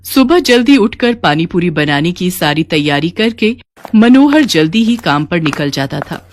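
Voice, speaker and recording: A woman narrator reading a scripted children's story. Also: lowish voice.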